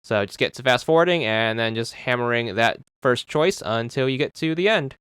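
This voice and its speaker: Male walkthrough narrator with a smooth voice, dry close-mic sound, calm instructive delivery. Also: mid-range voice, normal speed, no room sound. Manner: steady, instructive, repeats "just make sure". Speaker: game walkthrough guide. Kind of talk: instructional game commentary.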